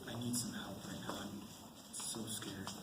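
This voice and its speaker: A man's voice: on the low side, level tone, normal speed, lightly acted. Teenage boy playing young soldier, echoey stage recording. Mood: scared, weak. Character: frightened, apologetic young soldier and husband. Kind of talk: amateur student stage acting. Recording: echoey school stage, clear background noise.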